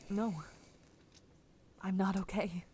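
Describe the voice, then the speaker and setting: A woman's voice: medium pitch, steady tone, slow, slight background noise, natural voice. A woman playing a troubled young girl who keeps a diary, in an audio drama. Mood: bitter, weary. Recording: loud music under the voice.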